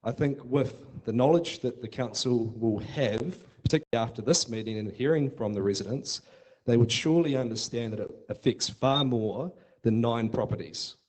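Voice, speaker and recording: Male speaker, New Zealand accent, halting, appealing to council. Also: medium pitch, darkish tone, poor audio. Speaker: speaker at public meeting. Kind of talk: public meeting address.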